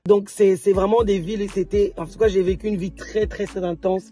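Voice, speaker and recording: Slightly hoarse woman vlogging. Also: mid-range voice, fairly even intonation, a little fast, dark tone, faint music behind. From DR Congo. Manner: chatty, with frequent restarts and repeated words. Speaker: entrepreneur and vlogger. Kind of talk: casual vlog talk to viewers.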